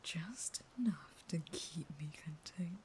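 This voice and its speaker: A woman playing a starving, unstable caged vampire who pleads with a lover in a breathy, intimate, dramatic whisper. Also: on the low side, expressive, slow pace, darkish tone, a little hiss or hum. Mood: wounded, bitter. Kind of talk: intimate whispered roleplay audio monologue.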